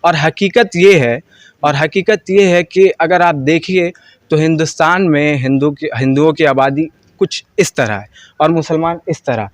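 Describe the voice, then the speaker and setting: A man's voice: a little high, a little slow, dry recording. An Indian man earnestly giving informal political opinions in an interview, leaning on repeated filler phrases. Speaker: street interviewee.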